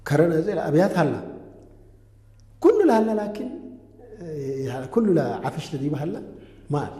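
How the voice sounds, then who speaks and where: A man answering interview questions in a conversational way, talking quickly and pausing on drawn-out fillers. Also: a little high, very animated, mellow, a little hiss or hum. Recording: roomy echo with long reverb.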